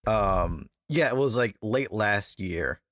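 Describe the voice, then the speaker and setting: A man's voice: lowish voice, animated, slow pace, a bit bright, no echo, studio quality. A man from Atlanta hosting a podcast interview, talking in an easygoing way with many fillers and easy laughs. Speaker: podcast host. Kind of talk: casual interview chat.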